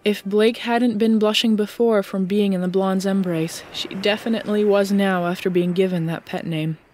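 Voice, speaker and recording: Woman narrating an audiobook, medium pitch. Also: steady tone, normal speed, a little mellow, a little hiss or hum. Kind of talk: scripted story narration.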